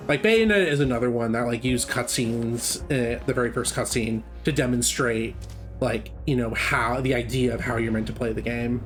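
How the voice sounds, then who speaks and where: A man's voice: medium pitch, moderate pace, soft background music. A man giving casual, chatty live commentary on a game speedrun, explaining as he goes. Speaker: gameplay commentator.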